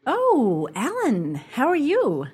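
A woman's voice: high-pitched, huge pitch swings, darkish tone, slight background noise, full character voice. An actress playing an adoring woman who relishes insults in a radio comedy, gushing and drawing out her words. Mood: adoring, delighted. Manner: breathy, gushing, drawn-out. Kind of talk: radio comedy play acting.

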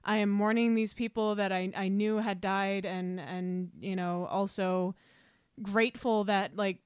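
A woman's voice: medium pitch, smooth, dry recording, clean studio sound. A woman co-hosting a casual podcast, speaking personally in a reflective, earnest way. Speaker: podcast co-host.

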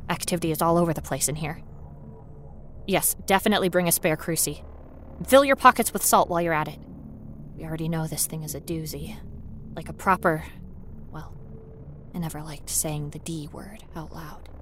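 Woman acting as uneasy female paranormal investigator, lowish voice, dry wit, conversational horror audio fiction, ambient noise behind. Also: moderate pace, long pauses, lightly acted. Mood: brisk, wary. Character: nervous, wry female paranormal investigator in a derelict building. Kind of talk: first-person horror audio fiction, acted narration. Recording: noisy ambient sound bed behind voice.

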